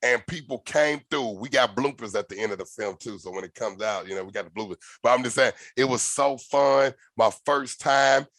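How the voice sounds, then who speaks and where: A man hosting a podcast, telling stories in a loose, animated way, with slang and swearing. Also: medium pitch, brisk, few pauses, dry recording, studio quality. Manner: animated, profane storytelling with slang. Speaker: podcast host. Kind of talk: casual podcast conversation.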